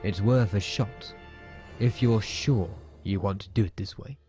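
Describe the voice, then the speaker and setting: An actor playing a stern, pragmatic military academy commandant, blunt and firm, in a dramatic comic dub. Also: male voice, lowish voice, unhurried, over music, natural voice. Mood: skeptical, stern.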